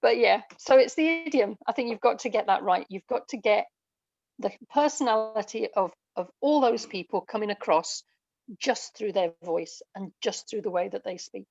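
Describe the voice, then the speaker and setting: A woman's voice: medium pitch, moderate pace, vocal fry, darkish tone, no echo. A woman being interviewed as a guest, explaining her writing craft thoughtfully in a conversational way, on a lo-fi recording. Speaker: interview guest, audio drama writer. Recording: dull, lo-fi, close dry sound.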